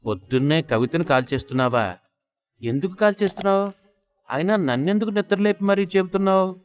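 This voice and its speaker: A man's voice: sits high, expressive, a little fast, voice pushed up. Male narrator as henpecked husband, reluctant, grumbling, sarcastic, lazy, comic audio story. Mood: irritated.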